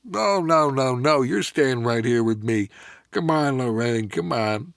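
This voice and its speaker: A man's voice: medium pitch, animated, measured pace, gravelly, no room sound, clean studio sound. A man doing a comic movie-star impression as a swaggering, laid-back bully with a casual, menacing drawl. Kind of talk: comic table read celebrity impression.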